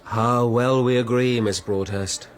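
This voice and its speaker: An actor playing a courteous young lord with an upper-class English accent in a period radio drama. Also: male voice, medium pitch, steady tone, unhurried, soft background music, natural voice. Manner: polite, refined. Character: courteous young lord, principled, privately disdainful of society. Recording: loud party crowd behind, radio play.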